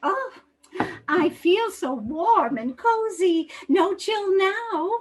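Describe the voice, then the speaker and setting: Woman playing a very high-voiced, genteel old lady. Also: lively intonation, extremely slow, full character voice. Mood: cozy, dreamy. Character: gentle, tipsy, eccentric old lady, sweetly hospitable. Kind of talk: comedic readers theater acting.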